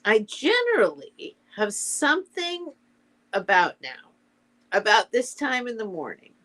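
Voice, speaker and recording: A woman's voice: a little high, very animated, unhurried, a bit bright, pitched above the performer's own voice. A woman voicing a naive, dreamy little male toy bear in a storybook, with a wistful, questioning manner.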